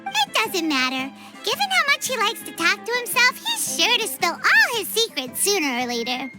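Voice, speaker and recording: A woman voicing a tiny, bubbly, chatty girl companion in a game, who talks about herself by name in a high, childlike voice. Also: huge pitch swings, moderate pace, heavily acted. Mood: wry. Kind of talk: game cutscene voice acting. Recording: game audio with music behind.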